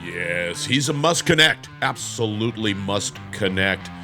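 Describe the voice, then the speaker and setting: Raspy male host over a music bed. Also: fairly low pitch, animated, a little slow. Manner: punchy, promotional. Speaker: podcast host. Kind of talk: solo podcast outro.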